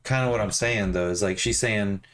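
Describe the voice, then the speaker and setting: A man's voice: fairly low pitch, a little fast, fairly bright tone. A man co-hosting a casual discussion podcast, talking loosely with many restarts and some laughter. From United States. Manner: chatty, laughing, lots of restarts. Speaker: co-host.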